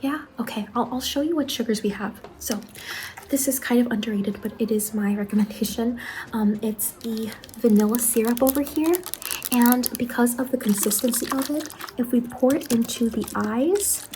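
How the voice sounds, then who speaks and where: A woman plays an eager young saleswoman at a macabre fair stall in an ASMR roleplay. Her voice is gentle and a bit high, with hesitant, apologetic customer-service politeness. Some noise sits behind her. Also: normal speed, natural voice, poor audio. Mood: gently recommending.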